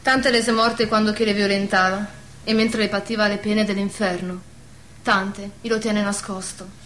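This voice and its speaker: A woman's voice: medium pitch, level tone, moderate pace, a bit bright, slight background noise, lightly acted. An actress playing a steadfast, sorrowful, protective village woman in a radio drama, sombre, with a southern Lazio dialect. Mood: grim. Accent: southern Lazio Italian dialect.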